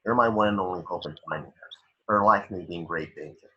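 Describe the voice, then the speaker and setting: A man's voice: low voice, fast talker, lo-fi sound, lightly acted. A man playing a formal, grave police detective in an amateur table reading of a film script. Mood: grave, insistent. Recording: home recording.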